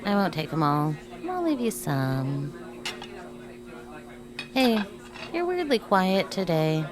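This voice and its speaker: A woman's voice: lowish voice, very animated, takes it slowly. A woman playing a chatty, nosy office coworker, casual and confiding, in a naturalistic play. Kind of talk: naturalistic stage acting in a livestreamed play.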